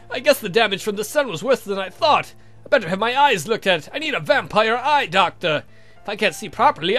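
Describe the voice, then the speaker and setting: A man's voice: extremely high pitch, sharp tone, pitched above the performer's own voice, exaggerated voice. A man voicing a grumbling, fretful vampire count in a visual novel playthrough, whiny and complaining. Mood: annoyed. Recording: music behind the voice.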